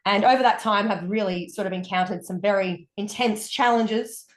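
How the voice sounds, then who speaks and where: A woman's voice: mid-range voice, normal speed, reverberant. A woman with an Australian accent, a wellness coach telling her story in a candid, upbeat interview.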